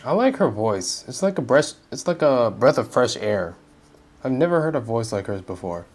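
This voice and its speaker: A young male gamer talks over his playthrough in a slangy, playful way. His voice is medium in pitch with faint music behind it, and he reacts brashly to what he sees. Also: sing-song, moderate pace. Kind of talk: casual gameplay commentary, slangy.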